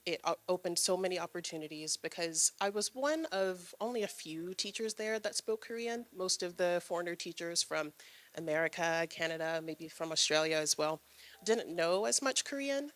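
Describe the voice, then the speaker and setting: A woman's voice: on the low side, a bit bright. A young woman in her twenties speaking as a panelist at an event, friendly and chatty with frequent ums. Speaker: panelist, graduate student. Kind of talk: answering on a panel. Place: event room with audience.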